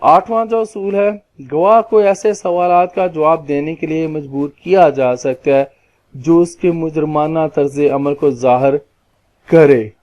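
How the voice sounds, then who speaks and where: A man with a Pakistani accent explaining legal points as a lawyer, in a formal, deliberate lecture style that sometimes repeats phrases. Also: fairly high pitch, a little mellow, dull audio. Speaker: lawyer giving legal lessons.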